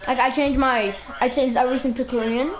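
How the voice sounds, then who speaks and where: High-pitched woman streamer, chatty and giggly, game music loud behind. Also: fairly even intonation, a little fast, rough recording. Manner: chatty, giggly, swears casually. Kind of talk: casual chat while playing. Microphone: gaming mic.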